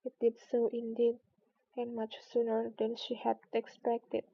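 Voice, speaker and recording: A woman's voice: a little high, completely flat delivery, unhurried, creaky, slightly rough, lo-fi sound. A woman narrating a children's storybook, reading it aloud.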